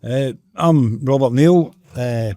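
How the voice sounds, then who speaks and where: A man's voice: medium pitch, slow pace, mellow, dry recording. A man giving a hesitant introduction. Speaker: music fan guest. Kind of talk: casual introduction.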